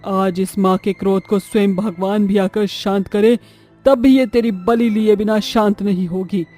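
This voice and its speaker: A man's voice: high voice, steady tone, measured pace, darkish tone, faint music behind. A man voicing a fiercely protective, vengeful ghost mother turned witch, with menacing dramatic delivery in a horror cartoon. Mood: vengeful wrath. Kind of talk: Hindi horror cartoon acting.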